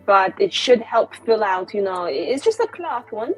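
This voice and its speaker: A woman's voice: mid-range voice, big pitch swings, normal speed, faint music behind, poor audio. Chatty woman describing her character. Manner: descriptive, chatty with many fillers. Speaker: player. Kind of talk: casual tabletop roleplay chat.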